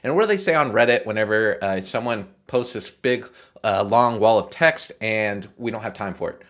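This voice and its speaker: Low-pitched man explaining code casually. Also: lively intonation, normal speed, a bit bright. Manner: explanatory, informal, often checking in with "right?". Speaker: programming tutorial host. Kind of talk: casual walkthrough of code in a screencast tutorial.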